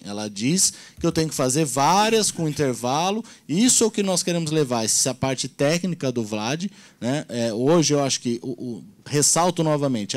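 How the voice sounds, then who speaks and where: A man's voice: on the high side, a little mellow. A man, earnestly explaining points at a council meeting on a handheld mic. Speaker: meeting participant. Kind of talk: public meeting discussion.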